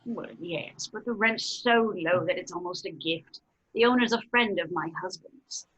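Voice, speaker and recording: A woman's voice: lowish voice, a bit bright, husky, poor audio. A woman playing a genteel, chiding middle-aged English mother and hostess in an old-fashioned radio drama, with an English accent. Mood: genteel, chatty. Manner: prim, brisk, proper. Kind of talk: 1930s-style radio drama acting.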